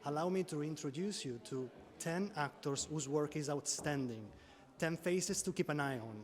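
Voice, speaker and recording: A man's voice: a little high, moderate pace. A man hosting interviews with actors, asking brief, direct questions. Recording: crowd chatter behind.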